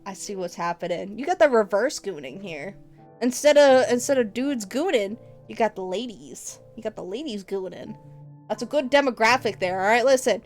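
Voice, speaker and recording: A woman's voice: mid-range voice, expressive, normal speed, soft background music. A woman livestreamer chatting casually with her viewers, teasing them playfully and laughing often. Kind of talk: casual stream chat with viewers.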